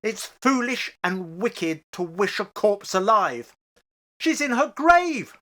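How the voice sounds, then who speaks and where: A woman playing an anguished elderly parent who tries to reason through grief, pleading and halting in an amateur radio play. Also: mid-range voice, sing-song, fairly bright tone. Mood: reluctant dread.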